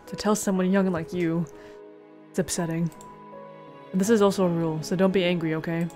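A woman's voice: fairly low pitch, steady tone, normal speed, warm tone, close to an everyday voice. A woman voicing a resigned middle-aged hospital patient who instructs a newcomer, in a visual novel read aloud. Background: game music.

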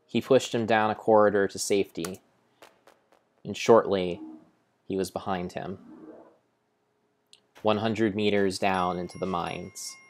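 A young man narrating gameplay as a solemn, deliberate, theatrical story. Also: deep voice, fairly even intonation. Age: young adult. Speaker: game streamer. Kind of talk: dramatic storybook-style narration over gameplay.

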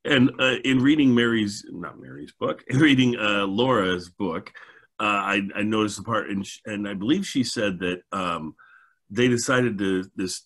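A middle-aged man with a husky voice, hosting a casual podcast discussion. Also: fairly low pitch, moderate pace, a bit bright. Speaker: podcast host. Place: indoors, on video call.

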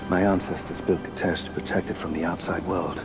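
A solemn leader of an ancient mountain people in a cinematic game, acted by a man. His voice is extremely deep and he speaks with grave, measured weight. Loud dramatic music plays behind him. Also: level tone, lightly acted. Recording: loud orchestral score behind.

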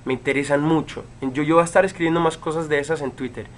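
A man in his twenties, from Chile, casually answering fan questions on a livestream from a room at home, relaxed, with many fillers and pauses. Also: a little high, slight background noise. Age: 20s. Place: home room with bookshelves, indoors. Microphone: webcam.

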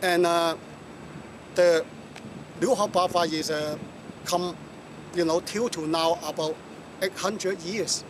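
Man, Cantonese accent, middle-aged, higher pitch, hesitant with fillers, outdoor waterfront, clear traffic noise. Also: steady tone. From Hong Kong. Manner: halting, many fillers, gestures while talking. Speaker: martial arts master. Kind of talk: casual spoken history talk. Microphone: camera in front, mid shot.

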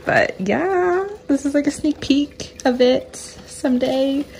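Giggly young woman chatting softly. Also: sits high, slow, vocal fry, slight background noise. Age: young adult. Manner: chatty, giggly, often drops to a hushed voice. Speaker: vlogger. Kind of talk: casual cooking vlog chat. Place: home kitchen at night. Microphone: phone camera on the counter.

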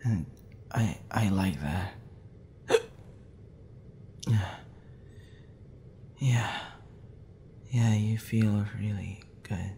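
Man acting a low, gravelly, drunk young crush. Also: warm tone, slight background noise. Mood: drowsy, flustered. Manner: stammering, halting, tipsy rambling with breathy sighs. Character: drunk young man, flustered, tender crush and best friend. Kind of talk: intimate ASMR audio roleplay acting.

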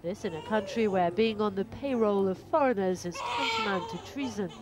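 A woman reporter giving scripted documentary narration in a measured, even way. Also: mid-range voice, background noise.

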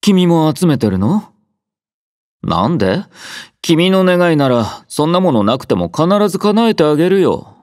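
A man voicing an aloof, laid-back young soldier in an anime-style game, quietly caring, with dry, understated teasing. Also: fairly high pitch, very animated, unhurried, dry recording. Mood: tender, sincere. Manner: languid, dryly teasing, understated. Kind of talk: Japanese anime-style game character voice lines.

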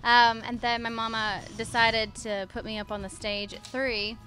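A woman's voice: a little high, level tone, normal speed, pushed and bright. A woman, a singer telling stories in a casual interview at a festival. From Texas. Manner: chatty, storytelling, laughs often. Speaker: country singer. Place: festival backstage area. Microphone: handheld interviewer mic. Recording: music playing behind. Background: music.

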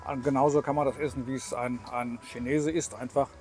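A man's voice: medium pitch, steady tone, fairly quick, a little mellow, faint music behind. A man in his sixties from Germany chatting and explaining as he hosts a casual travel vlog. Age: 60s. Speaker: vlog host.

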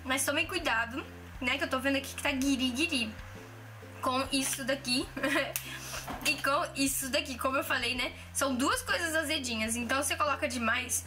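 Chatty high-voiced woman cooking at home. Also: fairly quick. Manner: chatty, hesitant, many restarts and fillers. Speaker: cooking vlogger. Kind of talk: casual cooking vlog. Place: home kitchen, indoors. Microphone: camera mic at arm's length. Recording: music playing under the voice. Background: background music and clinking dishes.

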